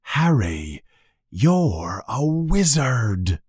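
A man voicing a huge, gruff, kindly but hot-tempered giant in a storybook reading. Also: low-pitched, sing-song, slow, dry recording, clean studio sound, heavily acted. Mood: earnest. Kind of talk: storybook character voice.

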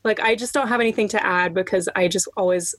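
A woman's voice: medium pitch, quick pace, a bit bright, dry recording. A young woman co-hosting a podcast, chatting casually in a self-effacing, agreeable and slangy way. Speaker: podcast co-host. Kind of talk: casual chat.

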